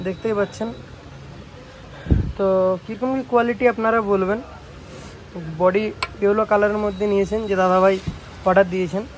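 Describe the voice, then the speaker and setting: A man's voice: sits high, background noise. A man giving a casual product demonstration for a vlog, with informal sales patter and frequent check-in tags. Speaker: speaker repair shop owner presenting products. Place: small electronics repair shop.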